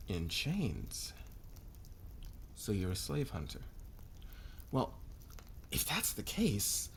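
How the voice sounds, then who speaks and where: A man playing a demanding, calculating corporate boss in a scripted ASMR roleplay, with a sardonic, deliberate, commanding manner. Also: lowish voice, huge pitch swings, breathy edge, full character voice. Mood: calm, probing.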